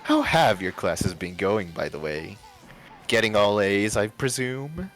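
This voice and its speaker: Older rich socialite woman, played by a male reader: gushing politeness with a vicious edge, highly animated pitch, story narration. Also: a little slow, faint music behind. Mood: prying, falsely polite. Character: older affluent socialite woman, falsely sweet, condescending.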